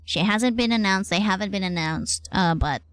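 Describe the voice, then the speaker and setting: A woman's voice: medium pitch, moderate pace, fairly bright tone. A woman game streamer giving casual, chatty live commentary, playfully reacting aloud as she goes.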